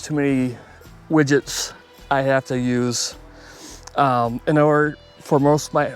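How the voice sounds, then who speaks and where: A man with a clear, smooth voice talks casually to his viewers while out on a hike. He is plainspoken and conversational, taking his time as he goes, with faint music behind him. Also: medium pitch. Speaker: YouTube host. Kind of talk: casual outdoor vlog talk to viewers. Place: outdoors on a hike along a river.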